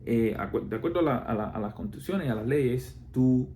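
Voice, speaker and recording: A man with a Cuban Spanish accent talks in a relaxed, mellow voice, explaining his views in casual talk. He circles back often, stumbling over fillers, false starts and rhetorical questions. Faint background noise sits under the voice. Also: mid-range voice, brisk. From Cuba. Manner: hesitant, many fillers and restarts, rhetorical questions.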